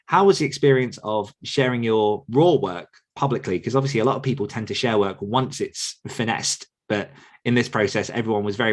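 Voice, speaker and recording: Low-voiced male podcast host. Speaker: podcast host and writing coach. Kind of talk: casual podcast interview.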